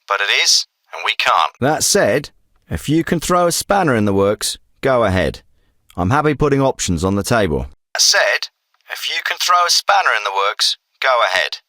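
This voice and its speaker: A hard-nosed covert military commander from a video game. His bright, forceful voice sits at a middle pitch, dry and close. He delivers terse orders and briefings with firm authority. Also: sing-song, normal speed, no echo. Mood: businesslike, focused. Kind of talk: scripted video game dialogue. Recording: some clips processed as radio.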